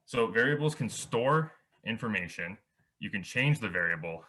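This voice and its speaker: A man's voice: on the low side, animated, moderate pace, sharp tone. A young man giving a beginner programming workshop over slides, explaining step by step with small restarts. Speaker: workshop instructor.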